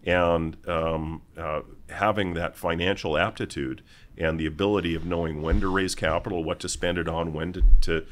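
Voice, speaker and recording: Middle-aged guy, really low voice, slow halting answers, keeps restarting. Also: fairly even intonation. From Canada, raised in Vancouver. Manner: halting and deliberate, many restarts. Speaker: mining company executive, guest. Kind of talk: interview answers.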